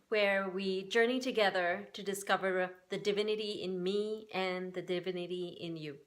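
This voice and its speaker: A woman's voice: medium pitch, a bit bright. A woman in her forties from Singapore, giving an earnest, upbeat personal talk that encourages other women. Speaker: spiritual workshop host. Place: Bay Area, United States.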